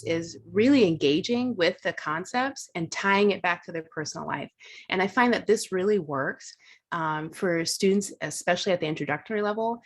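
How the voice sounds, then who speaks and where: A woman instructor presenting at an online teaching workshop, talking casually and thinking aloud, with fillers and restarts. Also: medium pitch.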